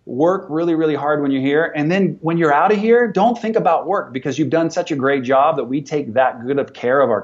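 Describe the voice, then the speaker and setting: Middle-aged businessman guest, upbeat and motivational, restarts his sentences, dull lo-fi recording. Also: mid-range voice. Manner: energetic, motivational, repeats words when starting sentences. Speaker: business owner and podcast guest. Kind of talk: conversational interview.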